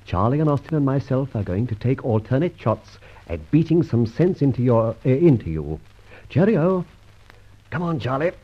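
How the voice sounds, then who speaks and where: Smooth-talking gentleman crook, very raspy voice, swoops around a lot, muffled old radio play. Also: medium pitch, sing-song, normal speed. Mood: breezy, commanding. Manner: breezy, witty, upper-class turns of phrase. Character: suave gentleman adventurer thief, cool, jaunty and commanding. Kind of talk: old radio drama acting.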